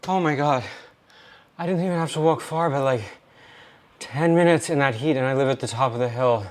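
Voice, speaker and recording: A man's voice: mid-range voice, brisk, dry recording. A man chatting casually and rambling a bit as he vlogs his day. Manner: chatty, rambling, self-talking. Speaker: vlogger. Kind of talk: casual vlog chat.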